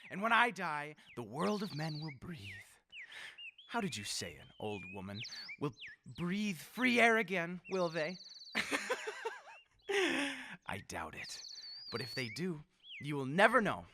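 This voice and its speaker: A man's voice: a little high, sing-song, a little slow, pauses often, background noise. A man playing a pompous, sneering, megalomaniacal dictator in old-time radio horror style. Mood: cold menace. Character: megalomaniacal, murderous male dictator gloating madly.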